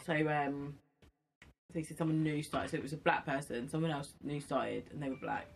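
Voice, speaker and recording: A woman's voice: sits low, fairly even intonation, normal speed, gravelly, a little hiss or hum. A woman in casual podcast conversation, insistent when she makes her point. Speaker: podcast host. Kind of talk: casual chat and debate.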